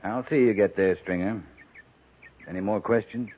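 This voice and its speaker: A man acting a frontier cavalry captain with a low, steady voice. He is terse, folksy and in command, speaking in dry understatement on a tinny 1950s radio western recording. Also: normal speed, lightly acted.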